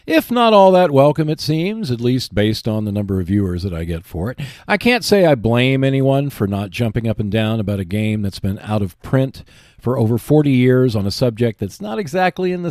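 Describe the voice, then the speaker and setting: Man hosting a podcast, lively intonation. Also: medium pitch, no echo, clean studio sound. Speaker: podcast host. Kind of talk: prepared podcast monologue about board games.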